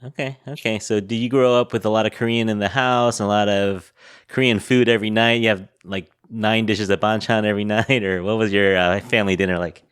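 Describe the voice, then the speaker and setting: A man hosting a podcast, asking questions in a relaxed, friendly way and laughing often. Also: on the low side, brisk, smooth. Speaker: podcast host. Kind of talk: casual interview chat.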